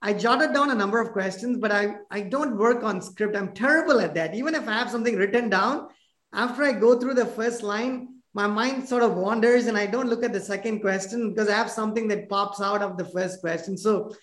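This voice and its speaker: Software engineer, from abroad, living near Washington DC, casual video call, rambling, lots of fillers, middle pitch, echoey room. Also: fairly quick. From abroad, now living near Washington DC. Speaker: software engineer asking questions.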